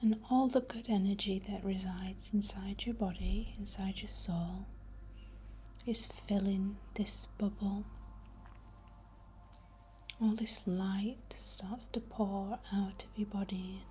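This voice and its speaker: A young woman whispering breathily and gently as she guides an ASMR meditation in an informal, unhurried way. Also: mid-range voice, steady tone, slight background noise. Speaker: ASMR host guiding a healing session.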